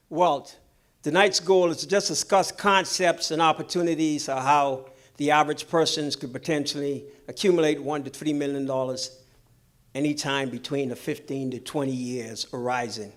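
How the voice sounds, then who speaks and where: A middle-aged man from the Bahamas with a Bahamian accent, presenting a financial seminar in a measured, businesslike way and checking in with his audience. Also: on the high side.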